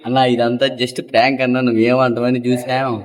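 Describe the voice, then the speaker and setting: A man's voice: medium pitch, completely flat delivery, moderate pace. A young man making a casual prank phone call in a pleading manner. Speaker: prank caller.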